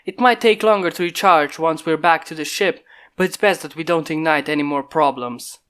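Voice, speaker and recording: A methodical human inventor gives terse orders in a low, controlled voice, played by a man reading a fan story. The voice stays close to the reader's own and is recorded at home. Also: normal speed, a bit bright, clean tone, natural voice. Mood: commanding.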